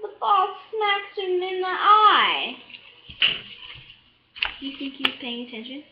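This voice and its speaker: High-pitched child slowly reading a storybook aloud. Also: very noisy. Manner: halting, expressive, with drawn-out words and excited shouts. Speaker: storybook reader. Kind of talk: animated read-aloud of a children's picture book with asides. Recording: echoey room, slightly dull sound.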